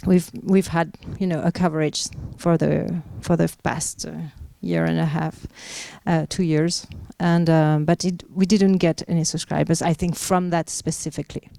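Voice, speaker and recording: Woman exec fielding panel questions; low, mellow and smooth, halting with fillers. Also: little pitch movement. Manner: hesitant, many fillers and restarts. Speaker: media executive answering questions. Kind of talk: panel Q&A answers.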